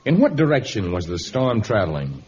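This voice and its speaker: A man playing a proud, indignant jungle hero with a formal, measured delivery in a vintage radio adventure serial. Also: fairly low pitch, big pitch swings, darkish tone, slight background noise. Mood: probing, assured. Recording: muffled old radio recording.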